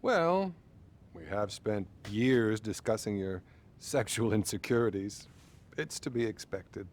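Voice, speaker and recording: Lowish-voiced, patronizing male therapist. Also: expressive. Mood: condescending. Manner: measured, placating, condescending. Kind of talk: naturalistic video game acting.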